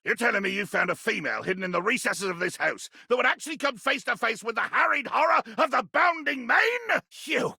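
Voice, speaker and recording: A ranting pirate berserker for a video game, comically menacing and full of florid threats. His voice is very gravelly and badly rasped, pitched high for a man. He delivers every line with grandiose bombast, ready to erupt into a roar. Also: bright, forceful tone, no room sound, studio quality, full character voice. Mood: outraged, blustering. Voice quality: gravelly, raspy. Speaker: voice actor. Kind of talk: theatrical video game character acting.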